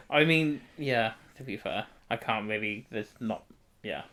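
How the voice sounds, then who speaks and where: A man's voice: fairly low pitch, fairly bright tone. Informal British man chatting about films. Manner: blokey, reactive, informal. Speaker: film podcast co-host. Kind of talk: casual chat.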